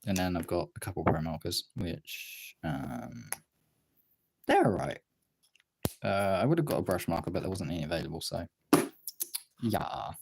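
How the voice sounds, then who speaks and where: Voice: sits low, animated, moderate pace, creaky, pauses often, darkish tone. Congested, rambling streamer with noisy background. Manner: informal, sweary, rambling. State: congested nose, tired voice. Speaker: livestream host. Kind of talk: casual chat to livestream viewers while drawing.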